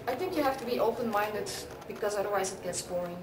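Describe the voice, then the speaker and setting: A woman in her thirties from Belgium with a Belgian accent, chatting in a relaxed, hesitant way as a punk musician being interviewed in a club. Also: mid-range voice, quick pace, background noise. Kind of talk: casual interview. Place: indoor club hallway, echoey. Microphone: camera mic from a distance. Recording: echoey room, distant mic, crowd behind.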